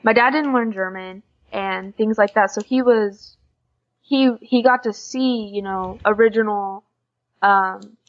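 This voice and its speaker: An eighteen-year-old American woman talks casually as a guest in an interview. Her voice is of average pitch for a woman. The sound is slightly muffled and lo-fi. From Oklahoma, United States. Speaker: interview guest, exchange student. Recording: dull lo-fi podcast audio.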